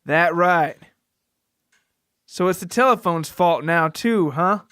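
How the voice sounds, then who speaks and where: A man's voice: a little high, takes it slowly, a bit bright, clean tone, no echo. Man playing a menacing, scruffy, bullying father. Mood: scornful. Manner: folksy, threatening, mocking.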